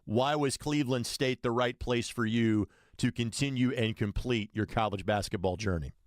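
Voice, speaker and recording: A man's voice: mid-range voice, moderate pace, no echo, clean studio sound. A man hosting a sports radio show, interviewing a guest in a conversational way.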